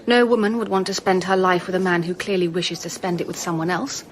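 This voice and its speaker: A young woman with an English accent playing a polite, gracious Victorian gentlewoman in a radio drama. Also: on the low side, fairly even intonation, fairly quick, natural voice. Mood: polite, composed. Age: young adult. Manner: polite, well-spoken.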